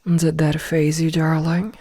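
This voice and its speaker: Slow, breathy possessive werewolf woman, acted out by a woman. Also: sits low, flat delivery, no echo. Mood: tenderly possessive. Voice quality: soft, breathy, often slipping into whisper. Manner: cold, callous, deliberate word delivery. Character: possessive yandere female werewolf omega, menacingly loving. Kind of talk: ASMR roleplay, slow intimate delivery.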